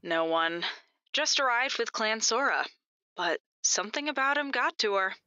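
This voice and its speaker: A woman playing a guarded, businesslike vampire informant in an audio drama, terse and measured. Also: a little high, expressive, sharp tone, dry recording, highs cut off.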